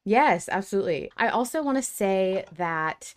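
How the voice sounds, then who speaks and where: A woman's voice: medium pitch, animated, normal speed. A woman hosting a fan podcast in casual, chatty, giggly conversation. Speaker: podcast host.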